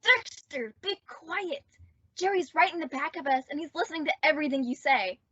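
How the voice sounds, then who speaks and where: An earnest teenage girl, played by a woman with a very high, bright voice, in the style of an old-time radio comedy. She is lovestruck and dramatic, with emphatic delivery. The recording is dry and a little muffled. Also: lively intonation, normal speed, no echo. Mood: fond, cautioning. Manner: emphatic, pleading girlish delivery. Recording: dull, band-limited dry audio.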